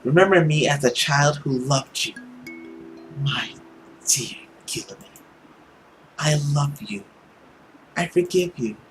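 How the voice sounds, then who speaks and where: A man's voice: fairly high pitch, takes it slowly, long pauses, soft background music, rough recording. Man reading as a sorrowful, earnest doomed woman. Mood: sorrowful, loving. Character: doomed, tender woman pleading with her former lover. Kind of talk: visual novel character reading.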